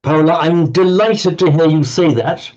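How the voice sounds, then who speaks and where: A man's voice: on the high side, measured pace, darkish tone, lo-fi sound. An older man teaching a language class, playfully pedantic and prone to stumbling repetitions.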